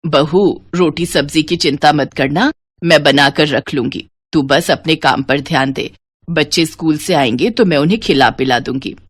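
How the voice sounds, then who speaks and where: A woman plays an older mother-in-law in a moral tale. She is caring and reassuring, with her pitch dropped lower. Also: moderate pace, husky, no echo.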